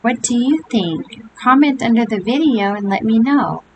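An older woman reading a picture book aloud to young children, with gentle chatty asides. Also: a little high, normal speed, muffled recording. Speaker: storybook reader. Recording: home recording with steady hiss.

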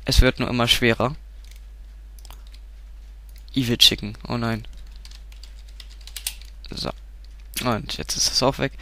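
A man's voice: mid-range voice, fairly even intonation, takes it slowly, pauses often, pushed and bright. A man with a stuffy cold nose, giving a casual game tutorial, rambling and often correcting himself. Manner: rambling, self-correcting, frequent filler sounds.

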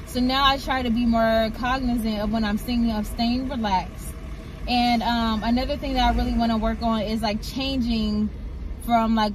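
A woman's voice: fairly high pitch, rough recording. A woman in her thirties, vlogging casually from a parked car into a phone camera on the dashboard, chatty and upbeat, repeating phrases for emphasis. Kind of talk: casual vlog chat. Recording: clear car and street noise. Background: car and street noise.

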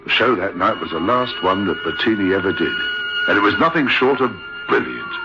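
An actor playing a troubled witness narrating an eerie old radio drama in the first person, uneasy and halting. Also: male voice, fairly low pitch, expressive, close to an everyday voice. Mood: uneasy, reflective. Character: troubled male witness recounting an eerie story. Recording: dull lo-fi old radio recording. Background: music.

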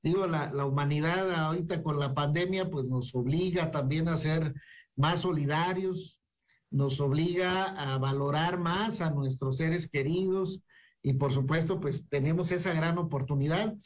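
Male radio host, measured. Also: fairly high pitch. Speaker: radio talk show host. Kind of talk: radio interview.

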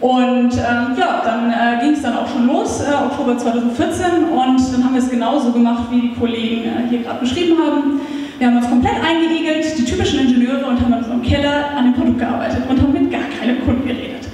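A high-pitched woman with a light lilt speaks into a handheld microphone on a meetup stage. She talks in a lively, chatty way, full of little filler sounds. The recording is taken from across a large hall, so her voice rings with heavy echo and a long tail. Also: quick pace, slight background noise. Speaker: startup founder giving a talk. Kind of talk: conference talk. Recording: echoey hall, taped from far off.

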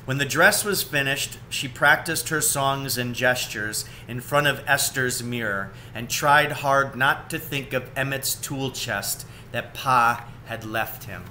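A man's voice: medium pitch, slow pace, hardly any pauses, sharp tone, slight background noise. A man in his forties reading a children's storybook aloud, recorded indoors at home on a webcam.

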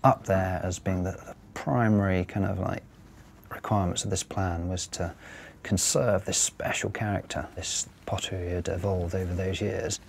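A man's voice: low voice, flat delivery, moderate pace, creaky, slight background noise. A man, an architect, speaking in a documentary interview, sometimes searching for his words.

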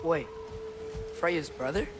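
A man's voice: a little high, takes it slowly. A young man playing an earnest, curious teenage adventurer in a video game. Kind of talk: video game dialogue acting. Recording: music behind the voice.

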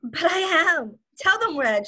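A woman playing a frightened, earnest wife and mother accused in court, pleading in a husky voice during a script read. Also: high-pitched, very animated, a little slow, bright, forceful tone, heavily acted. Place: home, video call.

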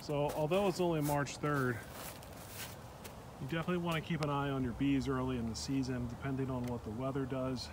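Calm man narrating outdoors among beehives. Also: mid-range voice, brisk. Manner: calm, matter-of-fact commentary. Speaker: beekeeper. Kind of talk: casual narration while filming. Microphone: handheld phone camera. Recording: outdoor phone recording with steady background noise.

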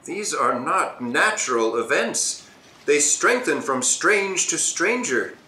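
A man's voice: a little high, big pitch swings, slow pace, sharp tone, exaggerated voice. Solemn king in an echoey room man acting. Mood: bewildered. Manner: grave, formal verse delivery. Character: solemn, bewildered, dignified king. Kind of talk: Shakespearean radio drama acting. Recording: roomy, echoing home recording.

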